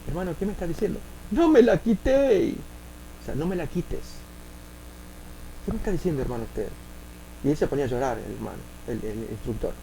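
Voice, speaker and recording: Argentine pastor guy, raised voice, pauses a lot, tells stories and does the people's voices. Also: a little high, lively intonation, vocal fry, mellow, slight background noise, low-quality recording. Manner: many filler pauses, acts out quoted voices. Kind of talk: sermon with spoken anecdotes.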